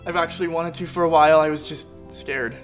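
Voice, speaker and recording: Man acting nervous student, earnest, highish young male voice, drama dialogue, music behind. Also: steady tone, quick pace, lightly acted. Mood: earnest, vulnerable.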